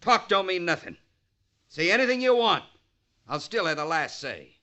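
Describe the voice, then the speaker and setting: An actor playing a bitter, stubborn old rancher in a vintage radio western, gruff and commanding with a rustic drawl. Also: male voice, sits high, very animated, normal speed, sharp tone, dull audio, exaggerated voice. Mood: defiant. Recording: vintage radio recording, faint crowd.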